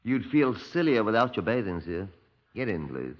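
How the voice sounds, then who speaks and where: Fussy pathologist, animated, muffled old radio. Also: male voice, on the low side, moderate pace, slight background noise. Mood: bossy, instructive. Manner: hesitant uh's, bossy, instructive. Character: brisk, fussy male pathologist demonstrating to police. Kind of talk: 1950s radio drama acting. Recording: muffled 1950s radio recording.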